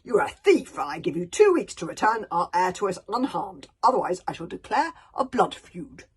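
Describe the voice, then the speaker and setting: A woman's voice: medium pitch, big pitch swings, brisk, husky. A woman playing a bellowing warrior queen. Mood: taunting. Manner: taunting, bellowing. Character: fierce loud female warrior chief.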